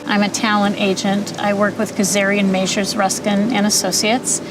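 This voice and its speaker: A middle-aged or older woman, a talent agent, telling her personal story in an interview. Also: medium pitch. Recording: distant mic, noisy traffic-like ambience.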